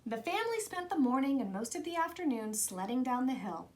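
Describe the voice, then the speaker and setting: A woman reading a children's picture book aloud in an expressive storytelling style. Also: sits high, brisk, a little hiss or hum. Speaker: storybook reader.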